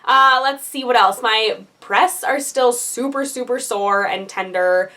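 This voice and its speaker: A woman's voice: a little high, a little slow, sharp tone. A young woman giving a casual vlog update, chatty and full of fillers, her nose a little congested. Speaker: vlogger.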